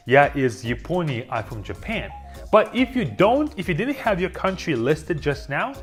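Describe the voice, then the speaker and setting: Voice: mid-range voice, sing-song. Synthetic-sounding dubbed language teacher. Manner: slow, repeats words for learners. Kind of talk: scripted lesson narration. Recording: auto-dubbed voice with faint music.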